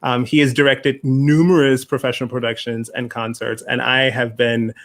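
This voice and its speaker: A man hosting an online festival conversation in an informal, halting way, speaking over a remote call. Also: mid-range voice, flat delivery. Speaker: host, festival producer.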